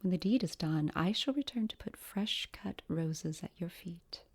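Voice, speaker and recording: A woman's voice: fairly low pitch, normal speed, a little mellow, husky. A woman playing a sultry, mocking villainess and lover in an audio drama, languid and mock-tender.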